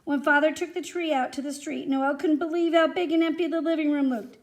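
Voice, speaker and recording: Female storyteller, high voice, animated and expressive, reading a picture book to young children. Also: fairly quick. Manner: animated, addresses young listeners with questions. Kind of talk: expressive read-aloud for children.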